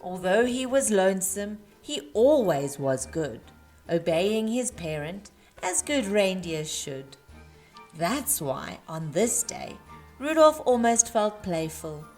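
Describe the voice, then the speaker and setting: Woman storyteller, unhurried delivery, emphatic rhyming read-aloud for kids, soft music behind. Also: mid-range voice, sing-song. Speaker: storyteller reading a children's book aloud.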